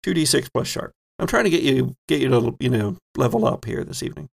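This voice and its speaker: A man narrating a tabletop roleplaying game as game master, halting as he builds dramatic descriptions. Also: mid-range voice, big pitch swings, gravelly, husky.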